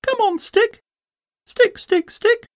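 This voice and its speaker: A storybook reader voicing a small, eager, excitable young kangaroo boy in a very high voice. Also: takes it slowly, no room sound, studio quality. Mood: thrilled. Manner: eager, breathless repetition. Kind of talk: storybook character voice.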